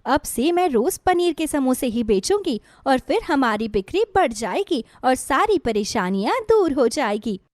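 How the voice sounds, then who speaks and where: A woman's voice: sits high, big pitch swings, unhurried, hardly any pauses, a little mellow, no echo, clean studio sound. Woman as an earnest poor village mother. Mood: hopeful. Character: hardworking, hopeful, forgiving poor village mother. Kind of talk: storybook dialogue.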